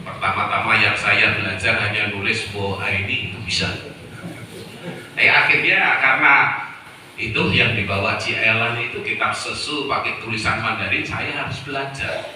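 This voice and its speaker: A middle-aged man from Solo, giving a Confucian sermon in a storytelling way, slowing down to stress key words. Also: fairly low pitch, pushed and bright, slight background noise. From Solo, Central Java, Indonesia. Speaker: Confucian preacher. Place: temple hall. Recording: echoey hall, voice from far off.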